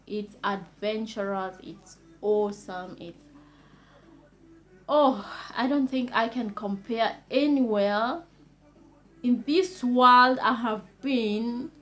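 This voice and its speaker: A young woman from Kenya hosting her own vlog, talking casually and enthusiastically, heaping on superlatives with many pauses. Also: a little high, a bit bright. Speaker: vlogger.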